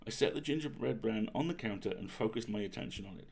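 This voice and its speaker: Gravelly man reading a bedtime story. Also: fairly low pitch, lively intonation, fast, darkish tone. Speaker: storyteller, livestream host.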